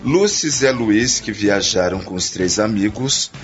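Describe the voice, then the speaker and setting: A man's voice: lowish voice, animated, measured pace. A man narrating a scripted radio drama. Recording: dull radio play with background music.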